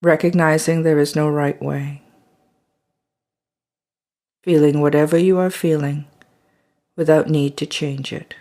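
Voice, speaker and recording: A meditation guide leading a body scan, speaking slowly and softly and trailing into whispers at phrase ends. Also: mid-range voice, fairly even intonation.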